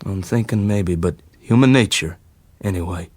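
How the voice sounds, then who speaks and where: A man voicing a rough, folksy fellow, opinionated and bitter but kindly, in colloquial rustic speech. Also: low voice, normal speed, a little mellow, dry recording. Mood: bitter, philosophical.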